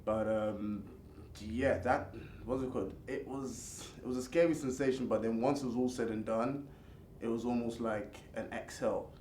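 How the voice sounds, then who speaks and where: A man's voice: medium pitch, steady tone, a little mellow. A British man with a stammer talks through a casual interview chat. He halts and repeats himself and often laughs things off. The room is echoey, with faint background hiss. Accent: British English. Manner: halting, repeats words, laughs off questions. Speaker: guest.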